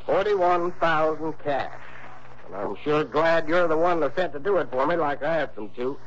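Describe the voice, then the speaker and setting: Man playing a folksy, high-pitched old-radio character. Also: normal speed, lightly acted. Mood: confiding. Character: folksy, crotchety Western small-town insurance man. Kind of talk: early 1960s radio drama acting. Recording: muffled, noisy old radio broadcast.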